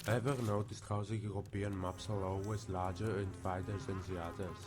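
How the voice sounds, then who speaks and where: A man's voice: low-pitched, little pitch movement, fairly quick, close to an everyday voice. A man voicing an eccentric, rambling homeless man in a video game, with oddly phrased lines. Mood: offbeat. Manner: weird, offbeat phrasing. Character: odd rambling male bum, eccentric. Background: game music.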